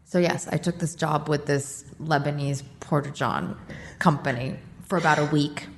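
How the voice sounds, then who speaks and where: A woman being interviewed on a podcast, telling her career story in an animated, self-mocking way. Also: low-pitched, normal speed, creaky. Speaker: guest, war correspondent. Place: charity office, roomy. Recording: echoey room with faint noise.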